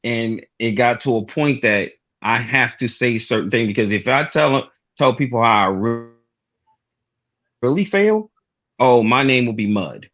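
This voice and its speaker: A man in his forties with a Baltimore accent, explaining things haltingly with many ums in casual chat. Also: medium pitch, expressive, a bit bright, dull audio. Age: 40s. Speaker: guest, toy maker.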